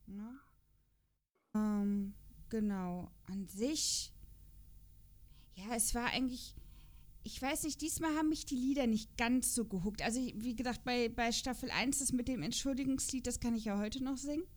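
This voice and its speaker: Chatty woman on a review podcast, kinda high voice, rambles and keeps asking for agreement. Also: normal speed. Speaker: podcast co-host reviewing children's audio dramas. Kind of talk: casual unscripted review chat.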